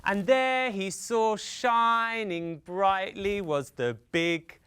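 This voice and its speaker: A man from the UK telling a folktale theatrically for children, with dramatic pauses, repetition and drawn-out emphasis. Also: high voice, pushed and bright, clear, resonant tone. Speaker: storyteller.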